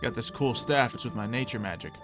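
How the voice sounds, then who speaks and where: A man with a mid-range voice improvises casually through a video game roleplay. His delivery is offhand and playful. Game music plays clearly behind him. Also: medium pitch, a little fast. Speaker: gaming video creator. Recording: music under the voice.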